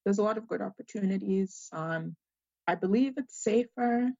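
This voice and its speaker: A young woman with a gravelly, fry-laden voice answers interview questions in a mixed Zambian accent with Australian and American traces. She pauses often on "um" as she thinks. The sound is dry and somewhat dull. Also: mid-range voice, a little mellow, no echo. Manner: hesitant, many "um" pauses. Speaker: guest.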